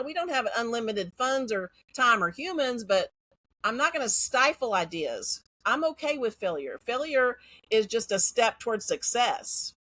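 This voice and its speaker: Frank Texan woman, bright voice. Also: medium pitch, no room sound. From Central Texas. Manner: direct, frank, confident. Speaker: guest, educator. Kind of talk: casual interview talk.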